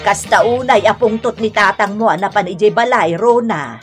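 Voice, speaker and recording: A woman's voice: mid-range voice, normal speed, music in the background, lightly acted. An actress playing an exasperated middle-aged mother who scolds and laments, with a Filipino accent, in a radio comedy drama. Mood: disapproving.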